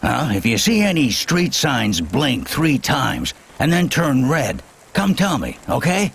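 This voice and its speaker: An actor playing an eccentric, self-important, muddled engineer in a video game, rambling in a gravelly voice. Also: male voice, mid-range voice, wildly swooping pitch, takes it slowly, a bit bright, a little hiss or hum, heavily acted. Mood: muddled, cautionary.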